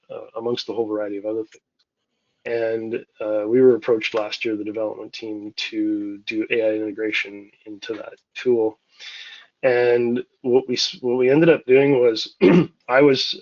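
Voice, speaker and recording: An older man, a longtime consultant, moderating a webinar panel in a relaxed, conversational way. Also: lowish voice, dry recording. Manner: relaxed, conversational with occasional restarts. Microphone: video call. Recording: dry, dull lo-fi call audio.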